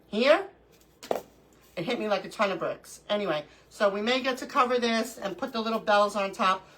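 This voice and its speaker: A middle-aged woman hosts a casual crafting livestream from home. Her voice is bright and clear, though stuffy from allergies, and she sniffles now and then. She chats easily and greets viewers one by one by name. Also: medium pitch, moderate pace, slight background noise, low-quality recording. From New York.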